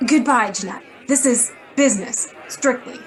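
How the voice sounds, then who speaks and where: A sardonic woman editor in a noir radio play, acted by a woman. The voice is fairly high, clipped and blunt, with some crowd murmur behind it. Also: moderate pace, natural voice, rough recording. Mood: dryly dismissive. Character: brisk, sardonic, worried female magazine editor. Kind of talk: hard-boiled radio drama acting.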